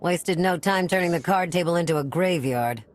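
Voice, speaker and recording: A woman's voice: lowish voice, normal speed, natural voice. A woman playing a blunt, pragmatic smuggler in a video game, wry and informal. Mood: wry, dry. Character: blunt, pragmatic female smuggler running supply lines.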